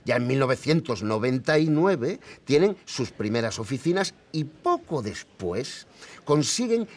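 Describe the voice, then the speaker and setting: Expert, mid pitch, very varied intonation, explanatory storytelling. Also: male voice. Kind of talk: explanatory account of history.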